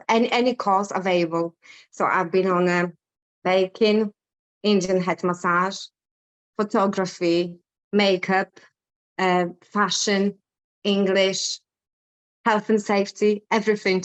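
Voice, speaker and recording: A woman's voice: medium pitch, low-quality recording. A woman in her thirties from Poland with a Polish accent, telling her story candidly as a guest on a video call. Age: 30s. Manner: chatty, candid storytelling with fillers. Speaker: guest artist. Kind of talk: casual interview on a video call.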